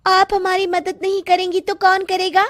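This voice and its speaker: Storybook role: a woman as a scheming young bride, very high-pitched and whiny. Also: monotone, moderate pace, a bit bright. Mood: pleading. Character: scheming young daughter-in-law.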